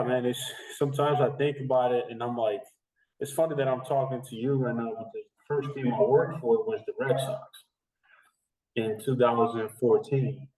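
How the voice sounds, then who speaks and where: A man's voice: medium pitch, a little mellow. A man in his thirties from the Dominican Republic, a guest talking casually and rambling, with lots of fillers and restarts. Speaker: strength and conditioning coach, guest. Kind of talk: casual interview chat. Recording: echoey, distant remote recording.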